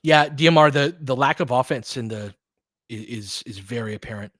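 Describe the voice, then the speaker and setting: A man's voice: medium pitch, moderate pace, fairly bright tone, no echo. Dry, close-sounding man calling live basketball. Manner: clipped, slangy, conversational phrasing; partisan fan of one team. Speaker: basketball play-by-play commentator. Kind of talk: informal live play-by-play commentary with analysis.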